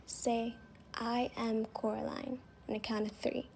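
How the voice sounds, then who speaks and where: A woman who is not a native English speaker leads a guided meditation in a soft, breathy voice that slips toward a whisper. She speaks very slowly and gently, with a slightly high pitch. There is faint noise behind her. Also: level tone. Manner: gentle, reassuring, unhurried. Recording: faint background noise, home recording.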